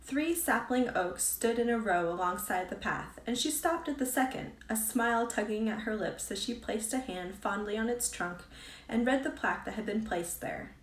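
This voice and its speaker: A woman's voice: a little high, moderate pace. A woman reading a storybook aloud as a scripted narration, as an author reads her own book. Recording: roomy echo, distant from mic.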